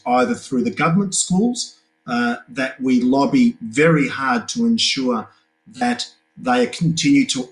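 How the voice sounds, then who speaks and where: Measured man on a video call. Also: medium pitch, rough recording. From Australia. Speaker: community leader. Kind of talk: online panel discussion. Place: office with banners.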